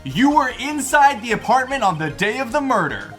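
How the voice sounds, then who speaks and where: A man's voice: very high-pitched, very animated, normal speed, a bit bright, full character voice. A man voicing an earnest young defense attorney in a video game fan dub, speaking assertively and with accusing emphasis. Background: game music.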